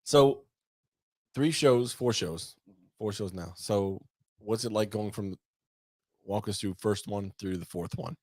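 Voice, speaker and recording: A man co-hosting a podcast, asking quick questions and cracking jokes in casual chat. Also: fairly low pitch, a little mellow, no echo. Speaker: podcast co-host.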